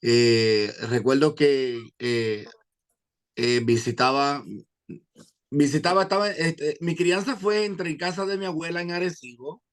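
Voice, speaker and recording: Puerto Rican evangelist man, halting. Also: fairly high pitch. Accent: Puerto Rican Spanish. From Puerto Rico. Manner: hesitant, many "eh" fillers, quotes scripture. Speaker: evangelist guest. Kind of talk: interview testimony.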